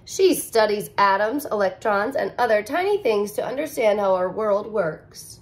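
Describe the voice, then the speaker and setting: A woman in her thirties, a teacher, reading a picture book aloud to young children in an expressive, sing-song way, recorded close to the camera in a living room. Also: medium pitch, normal speed. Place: living room with couch, indoors.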